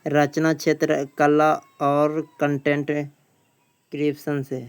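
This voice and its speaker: A man teaches by reading out quiz questions and their answers. His voice is strikingly clean and smooth, pitched a little high, with very little melody. He is plain-spoken and often repeats a phrase. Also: monotone, slow. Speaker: teacher, exam coaching.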